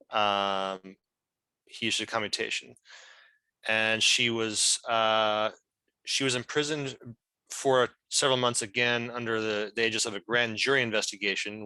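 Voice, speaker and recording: A man answering questions at an online meeting, speaking earnestly in a halting, hesitant way. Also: medium pitch, bright, forceful tone, smooth, dry recording, low-quality recording. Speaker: legal team member as guest speaker. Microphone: video call.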